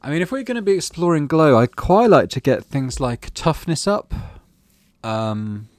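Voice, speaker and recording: A man's voice: fairly high pitch, normal speed, no room sound. A man hosting a gaming channel, reading game narration aloud expressively and adding casual commentary. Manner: expressive storyteller reading with asides.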